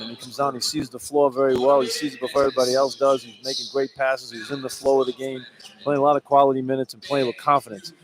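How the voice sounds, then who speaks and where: A man with a mid-pitched voice talks at a rapid clip as a radio color analyst. His live sports commentary is conversational, running from point to point. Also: low-quality recording. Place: courtside in a sports arena.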